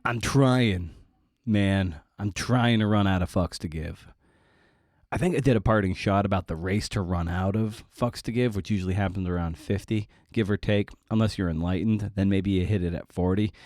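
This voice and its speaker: A man's voice: low voice, darkish tone, no room sound, clean studio sound. A middle-aged man hosting a podcast, talking casually and confessionally in a self-deprecating, rambling, sweary way. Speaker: podcast host.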